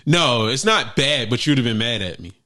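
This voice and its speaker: A man co-hosting a casual sneaker podcast, talking in a profane, animated way that jumps up loud when he jokes. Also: mid-range voice, moderate pace. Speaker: podcast co-host. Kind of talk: casual chat.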